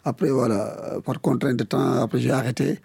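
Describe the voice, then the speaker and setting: A middle-aged man from Senegal, a Wolof speaker, answering a radio interviewer in a halting, relaxed way and often repeating words. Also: medium pitch, creaky, slightly rough, no room sound. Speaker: guest.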